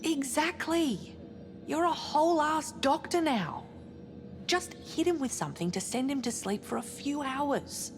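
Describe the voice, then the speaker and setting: A woman's voice: high voice, expressive, unhurried, over music. A woman playing a rebellious, cocky, suspicious science officer in a sci-fi game, with an insistent, cheeky delivery. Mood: pleading. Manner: insistent, cheeky, foul-mouthed. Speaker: science officer on a spaceship crew. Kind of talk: naturalistic dramatic game cutscene acting.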